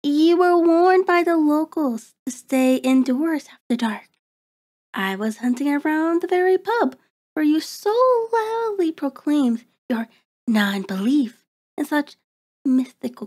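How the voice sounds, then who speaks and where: A woman's voice: sits high, a little mellow. A woman playing a cruel, predatory vampire in an ASMR horror roleplay, taunting and haughty, savoring words in formal diction. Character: cruel, predatory female vampire toying with her captive.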